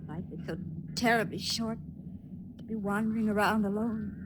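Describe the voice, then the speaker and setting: A woman acting a tender, brave, doomed young stowaway in a 1950s radio drama, speaking emotionally and haltingly. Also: medium pitch, steady tone, natural voice. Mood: wistful. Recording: noisy vintage radio recording.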